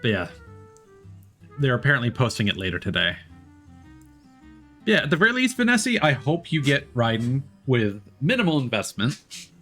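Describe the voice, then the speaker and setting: Male game streamer, lower register, casual and rambling, thinking out loud over soft background music. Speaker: livestreamer playing a game. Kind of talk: casual gaming stream chat.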